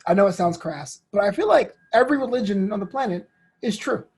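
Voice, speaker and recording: A man with a husky voice talking casually as an interview guest, telling things in an animated, informal way. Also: high voice, a little fast.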